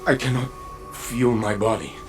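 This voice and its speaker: An actor playing a weary, passionate robot warrior in a video game, speaking in a halting, theatrical way. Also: male voice, medium pitch, expressive, music in the background, natural voice. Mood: anguished, tender. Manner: deliberate, halting, theatrical delivery. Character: weary, passionate robot warrior recalling his own death. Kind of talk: dramatic video game voice acting.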